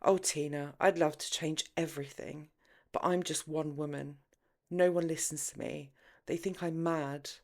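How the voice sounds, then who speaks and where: Audiobook role: breezy, headstrong wife, voiced by a female reader, brisk and emphatic. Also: sits low, fairly even intonation. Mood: resigned. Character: headstrong, breezy married woman seeking fun.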